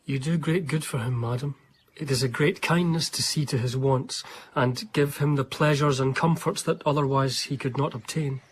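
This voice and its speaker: An actor playing an earnest, devoted biographer who narrates a radio drama in a soft, admiring tone. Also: male voice, medium pitch, moderate pace, slight background noise, lightly acted.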